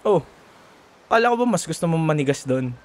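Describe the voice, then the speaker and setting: A man's voice: on the high side, big pitch swings, fairly quick, a little mellow, slight background noise. A young man with a Filipino accent acting a cocky, grudging student rival in an ASMR roleplay, teasing and sarcastic in casual banter. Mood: grudging, bickering. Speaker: voice actor.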